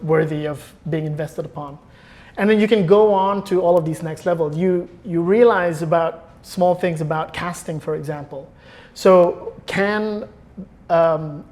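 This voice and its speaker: A man's voice: on the high side, a little mellow. A man speaking as a guest in a conversational interview, often searching for words and rephrasing as he explains. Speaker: interview guest, film producer.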